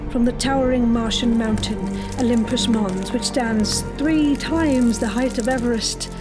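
A woman playing a polite, sceptical crewmate and narrator in a scripted audio drama, speaking in a measured way. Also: on the high side, steady tone, lightly acted. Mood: grand, dramatic. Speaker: podcast host. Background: music.

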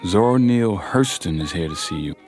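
A man's voice: sits low, lively intonation, fairly quick, darkish tone, slight background noise. An actor playing a grave, loyal manservant and right-hand man in an audio drama, deferential and understated. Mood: grave, attentive.

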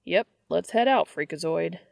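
A woman's voice: fairly low pitch, expressive, normal speed, creaky, darkish tone, no echo, clean studio sound. A woman voicing a brash, flustered young man in an audiobook, blurting and teasing with sarcasm. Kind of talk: audiobook character voice.

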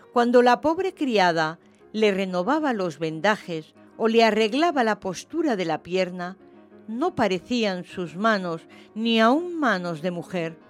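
A woman narrates a literary story for radio theatre. Her voice sits at a medium pitch and is expressive in its storytelling, with light music underneath.

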